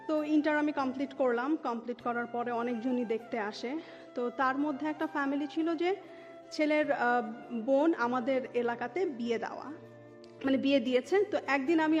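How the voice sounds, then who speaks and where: A woman in her thirties with a Dhaka Bangladeshi accent tells her personal life story as an interview guest, dropping English words into her speech. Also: sits high. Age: 30s. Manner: mixes English words into Bengali. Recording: dull muffled sound with music underneath. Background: music.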